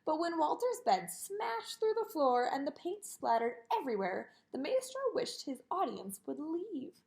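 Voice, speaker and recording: A high-voiced woman reads a children's picture book aloud. Her delivery is expressive and swoops widely up and down, turning sing-song on lists and refrains. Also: normal speed, creaky. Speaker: storybook reader.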